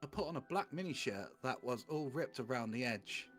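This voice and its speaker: A man with a mid-pitched, very animated voice reads fan fiction for comedy. He breaks off into casual chat with friends. Soft music runs underneath. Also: moderate pace. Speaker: streamer reading fan fiction aloud. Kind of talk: comic read-aloud and casual banter.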